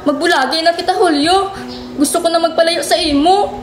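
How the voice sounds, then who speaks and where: A woman's voice: very high voice, a little fast. A woman with a Western Visayan Filipino accent playing a hurt but firm young mother and wife in a radio drama. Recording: echoey room with music bed.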